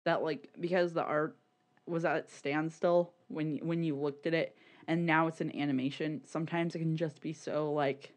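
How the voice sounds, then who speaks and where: A podcast co-host chatting casually about media in a rambling, halting, sweary way, often repeating words. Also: medium pitch, steady tone. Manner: informal, sweary.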